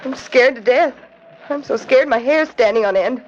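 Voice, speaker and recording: An actress playing a jittery, wisecracking detective's assistant in a melodramatic 1940s radio style. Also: female voice, high voice, normal speed, close to an everyday voice. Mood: frightened. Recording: muffled, noisy 1940s radio recording.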